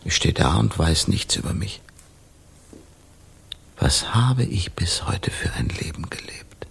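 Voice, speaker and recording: An actor in a German radio play playing an eccentric, haunted Parisian composer who has lost his memory, theatrical and given to swings between hushed musing and outbursts. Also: deep voice, lively intonation, takes it slowly, gravelly, breathy edge, in a whisper, exaggerated voice.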